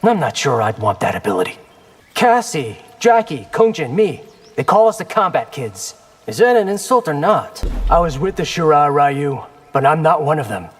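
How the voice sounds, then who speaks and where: An actor playing a wry, defiant, earnest young ninja warrior in a video game. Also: male voice, on the high side, very animated, normal speed, slightly rough, faint music behind. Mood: puzzled banter.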